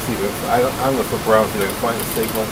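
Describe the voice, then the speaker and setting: Behind this man's voice there is clearly audible noise, as in a homemade recording. His voice sits on the low side, and he talks casually and matter-of-factly. He rambles without a script, like a hobbyist showing off his gear. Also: steady tone, rapid-fire. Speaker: radio hobbyist presenting his equipment. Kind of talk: casual unscripted show-and-tell.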